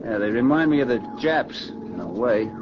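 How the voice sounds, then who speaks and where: A man's voice: mid-range voice, expressive, normal speed, close to an everyday voice. An actor playing a sardonic war-veteran serviceman in a 1940s radio drama, talking colloquially on a dull, very noisy recording. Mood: wry.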